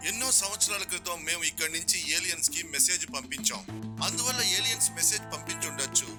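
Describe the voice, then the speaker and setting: An earnest cartoon scientist, explaining like a TV expert. His voice is high, with loud music underneath. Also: animated, fast talker. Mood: earnest, cautioning.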